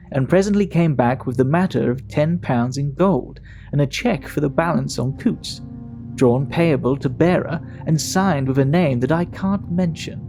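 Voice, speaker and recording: An actor playing a Victorian gentleman in an audio drama, recounting a grim tale in measured, emphatic, old-fashioned phrasing, indignant yet composed. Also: fairly high pitch, gravelly. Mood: intrigued, measured.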